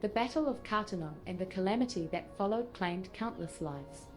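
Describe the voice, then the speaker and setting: Formal, mid-pitched woman as resolute admiral. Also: darkish tone, lightly acted. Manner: formal, measured, businesslike. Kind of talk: scripted video game dialogue. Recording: music behind.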